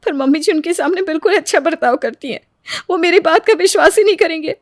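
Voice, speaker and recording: A woman acts out a long-suffering young daughter-in-law in a moral story. The voice is high, plaintive and earnest, recorded close and dry. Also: expressive, fast, dry recording. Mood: hurt, earnest. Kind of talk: Hindi moral story voice acting.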